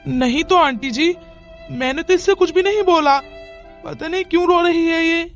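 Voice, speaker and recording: Casting: woman as young cartoon village lad, high-pitched, polite and earnest, music underneath. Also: moderate pace. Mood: puzzled, concerned. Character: polite, puzzled young village man, loyal business friend. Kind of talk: Hindi cartoon moral story dialogue. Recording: music behind the voice.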